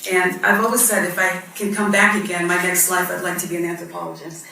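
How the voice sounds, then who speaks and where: A woman's voice: fairly low pitch, little pitch movement, fast talker, creaky, bright, forceful tone. A woman in her fifties, born in Guyana and raised in Barbados, speaking as a judge on a panel. She tells her story in an earnest, reflective way, with frequent ums. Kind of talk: personal story at a panel discussion. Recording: echoey hall, mic at a distance, faint noise.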